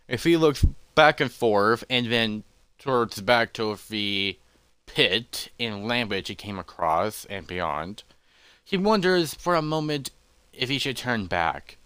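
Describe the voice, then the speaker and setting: A man narrating a fantasy adventure in the third person, halting and musing as he improvises the story. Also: mid-range voice, sharp tone, clear, resonant tone, no echo.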